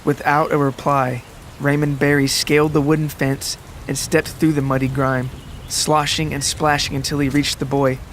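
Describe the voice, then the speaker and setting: A man reading a scripted literary story as a dramatic narrator, with deliberate, descriptive phrasing. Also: on the high side, level tone, a little hiss or hum. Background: music and rain sounds.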